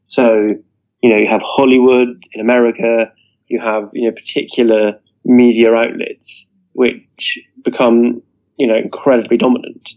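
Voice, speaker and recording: A man's voice: mid-range voice, flat delivery, moderate pace, darkish tone. A man being interviewed, setting out an earnest argument and often leaning on "you know" as he works through his points. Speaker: interview guest. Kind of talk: political discussion. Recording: dull lo-fi audio.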